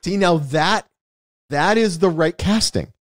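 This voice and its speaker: A man's voice: high-pitched, slow, dry recording, clean studio sound. A man hosting a casual film discussion podcast, giving opinions with wry, sarcastic asides. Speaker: podcast host.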